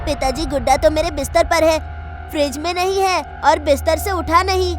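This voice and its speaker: A woman's voice: sits high, fairly even intonation, normal speed, faint music behind, natural voice. A woman voicing a bewildered young cartoon boy who protests and explains himself in a whiny way. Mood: puzzled, protesting. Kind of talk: cartoon comedy voice acting.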